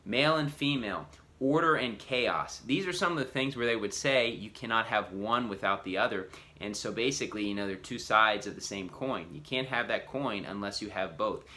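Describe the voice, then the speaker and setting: A man's voice: on the low side, moderate pace, sharp tone. A man preacher giving an earnest teaching talk, explaining step by step with frequent restarts and "you know".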